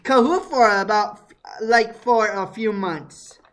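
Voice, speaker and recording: A man with a high voice hosting a podcast, talking in an excitable, casual way and laughing easily. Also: slow. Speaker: podcast host. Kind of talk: casual chat.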